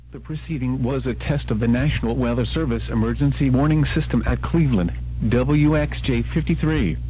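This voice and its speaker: A man's voice: medium pitch, fairly quick. A synthetic man's voice reading scripted weather radio announcements, heard through a radio receiver. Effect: played through a radio receiver. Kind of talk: scripted public service announcement. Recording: dull lo-fi radio sound, faint hum.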